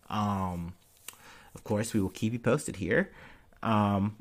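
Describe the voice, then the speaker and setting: A man hosts a casual news-of-the-week podcast in a loose, irreverent way. His voice sits at an ordinary middle pitch. He fills his talk with ums and jokes while he smokes and drinks. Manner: drinking and smoking while talking. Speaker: podcast host.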